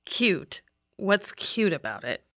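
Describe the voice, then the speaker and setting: A woman's voice: medium pitch, sing-song, takes it slowly, fairly bright tone. A woman playing a proud, exasperated teenage girl monster hunter in an audio drama. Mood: appalled. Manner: exasperated, sarcastic.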